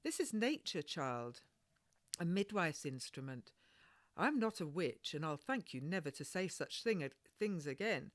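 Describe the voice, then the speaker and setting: A woman's voice: deep voice, very animated, unhurried, dry recording. A woman voicing a stern, proud and pious midwife in a storybook, with a firm, admonishing manner and old-fashioned phrasing.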